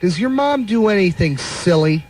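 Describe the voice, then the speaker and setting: A man's voice: high voice, expressive, moderate pace, darkish tone. A man working as a radio show producer, casually telling stories and asking playful questions on air. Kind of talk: casual anecdotes and playful interviewing.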